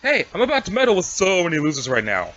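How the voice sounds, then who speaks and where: A man's voice: sits high, wildly swooping pitch, fast, a bit bright, dull audio, heavily acted. A man voicing a cocky, sarcastic young female alien schemer with snarky, bossy talk in an amateur comic reading. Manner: snarky, bossy, casual needling.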